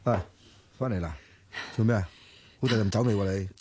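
An actor playing a stubborn, self-doubting young decorator who talks gruffly in Hong Kong Cantonese. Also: male voice, lowish voice, fairly quick, very noisy, lightly acted. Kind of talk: radio drama acting.

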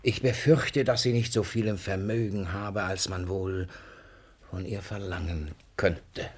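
Husky, miserly old father. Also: sits low, steady tone, unhurried. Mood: self-satisfied, sly. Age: older. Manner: blustering, theatrical, muttering to himself. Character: miserly, suspicious, irascible old father, self-righteous. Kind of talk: classical comedy radio play acting.

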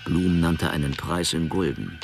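A man narrating a crime radio drama in a dry, measured storytelling manner. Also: very deep voice, gravelly, music in the background.

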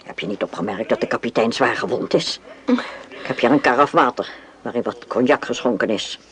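Strict older matriarch in radio play, low voice, sharp and scolding. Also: female voice, brisk. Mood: stern, scolding. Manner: stern, scolding. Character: stern, sharp older mother-in-law. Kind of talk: Dutch radio drama acting.

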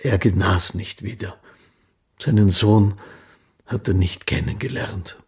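Very husky, gravelly chronicler. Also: male voice, lowish voice, fairly even intonation, takes it slowly, darkish tone, exaggerated voice. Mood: sober, measured. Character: sober male biographical chronicler. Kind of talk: documentary narration in radio play.

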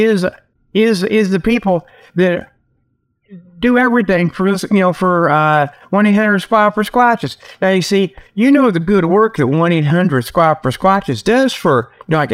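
A man with a slightly high voice hosts a casual, humorous show. He rambles in a folksy, joking way and keeps getting sidetracked. He is speaking outdoors straight into a tablet resting on his leg. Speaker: host of a comedic report show. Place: outdoors, sitting by a river. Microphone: tablet's built-in mic, resting on leg.

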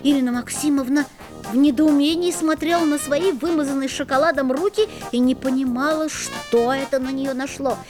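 Narrator: a woman doing a cheeky schoolboy, high voice, radio play with music under it. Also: moderate pace, close to an everyday voice. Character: schoolboy narrator, young male, mischievous. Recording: music playing behind.

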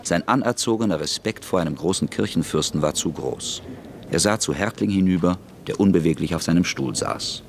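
A man narrating a radio play in a brisk, crisp storytelling manner. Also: sits low.

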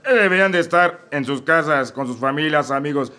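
A man's voice: a little high, a little fast, pushed and bright. A man with a Mexico City accent playing a crude, drunken, pious shepherd who rants in slang. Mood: ranting. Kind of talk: comedic radio play acting.

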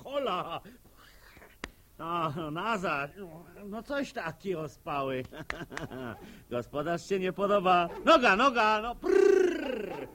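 Impulsive farm lad, high voice, slight noise. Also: male voice, sing-song, slow pace, long pauses, full character voice. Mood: bustling. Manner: plain rustic speech, impulsive. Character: eager, hot-headed young farm lad. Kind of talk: radio drama acting.

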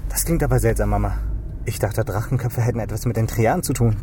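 A man's voice: on the low side, quick pace, lightly acted. An actor playing an insistent, suspicious adult son questioning his mother in a radio crime drama. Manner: probing, insistent. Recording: clearly noisy street or car ambience.

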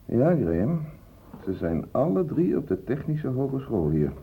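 Gravelly world-weary hospital doctor. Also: male voice, medium pitch, sing-song, unhurried. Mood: matter-of-fact. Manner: colloquial, terse clinical reporting. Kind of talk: radio play acting. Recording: tinny, noisy old radio play recording.

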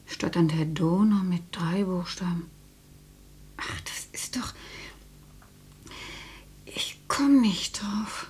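An actress playing a matter-of-fact older housewife in a radio play, with a husky voice, often muttering as if to herself. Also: female voice, medium pitch, darkish tone. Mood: puzzled. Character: matter-of-fact grandmotherly housewife who learns she is dead.

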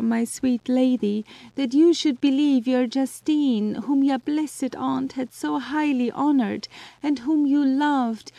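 A woman's voice: warm tone, dry recording. Woman voicing a weeping condemned servant girl. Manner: sobbing, pleading, gravelly low delivery. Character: condemned young servant woman, tearful and pleading. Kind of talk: audio drama acting.

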